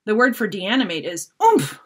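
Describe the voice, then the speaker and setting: A woman's voice: mid-range voice, huge pitch swings, moderate pace, slightly rough. A woman voicing a proud, frustrated young apprentice mage in a storybook, speaking with indignant urgency. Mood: urgent.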